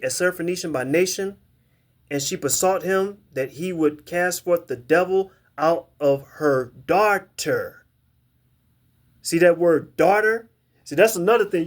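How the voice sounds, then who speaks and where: Man, slightly high voice, Bible teacher, emphatic, repeats himself, reads verses aloud to camera. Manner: emphatic, repeats and restarts words while reading verses. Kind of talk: scripture lesson to camera.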